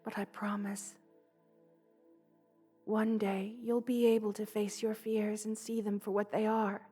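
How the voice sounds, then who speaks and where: Woman playing a loving monster-girl spider queen, unhurried, breathy and husky, hushed motherly ASMR roleplay, tender and wistful. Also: medium pitch, flat delivery, soft background music. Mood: earnest, reassuring. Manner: slow, hushed, tender, often breathing into whispers. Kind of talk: ASMR roleplay, soft comforting delivery.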